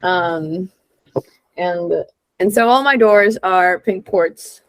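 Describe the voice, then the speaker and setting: A young adult woman from Honduras chatting casually as an interview guest, informal and expressive, peppering her stories with "like". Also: lowish voice, drawn-out words, no room sound. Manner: informal and expressive, peppered with "like", acts out quoted lines. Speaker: guest, real estate investor and entrepreneur.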